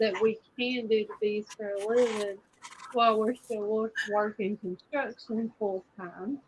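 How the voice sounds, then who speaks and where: A woman chatting casually, sounding quiet and distant among other voices. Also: medium pitch, steady tone, unhurried, noisy background. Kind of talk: casual chat.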